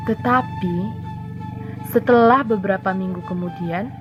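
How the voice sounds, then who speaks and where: A young adult woman narrating a regional folktale as a student storyteller, phrase by phrase with pauses. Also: medium pitch. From North Sumatra, Indonesia. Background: music behind the voice.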